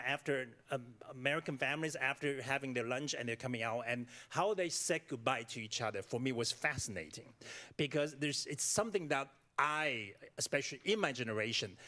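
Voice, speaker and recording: A middle-aged man with a Taiwanese accent, speaking as an author at a library talk, animated and full of fillers and restarts. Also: medium pitch. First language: Taiwanese Mandarin. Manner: animated, many fillers and restarts, laughs easily. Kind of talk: casual author talk on stage. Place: library event room.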